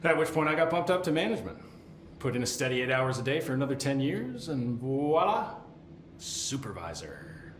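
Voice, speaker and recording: An actor playing a wry, jaded middle-aged government supervisor in a video game, rambling with sardonic asides. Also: male voice, mid-range voice, sing-song, normal speed, reverberant, slight background noise, lightly acted. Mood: dry, grumbling. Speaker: containment sector supervisor. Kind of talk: video game cutscene acting.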